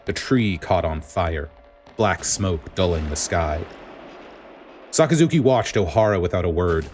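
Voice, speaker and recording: A man reading a story aloud as dramatic scripted narration, in a grave, deliberate manner. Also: sits low, lively intonation, darkish tone, faint music behind. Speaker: podfic reader.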